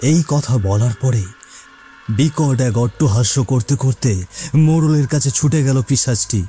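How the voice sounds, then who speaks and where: A man's voice: medium pitch, normal speed, mellow, breathy edge. A man narrating a cartoon moral tale in a dramatic, scripted storytelling style. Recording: faint music behind.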